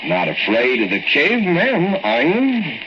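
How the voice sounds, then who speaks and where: An actor playing an injured, practical cave explorer with a brisk, needling manner in an old-time radio drama. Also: male voice, on the high side, huge pitch swings, dull audio. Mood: teasing. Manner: brisk, needling, dismissive of fear. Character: injured male cave explorer, impatient, teasing, practical companion. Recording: noisy, band-limited vintage radio recording.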